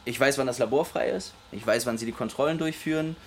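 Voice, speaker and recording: An actor playing an easygoing young lab researcher who deals on the side, talking casually in a naturalistic radio drama. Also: male voice, mid-range voice, fast, slight background noise, close to an everyday voice. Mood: reassuring. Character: easygoing young male lab researcher dealing homemade speed. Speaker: research assistant.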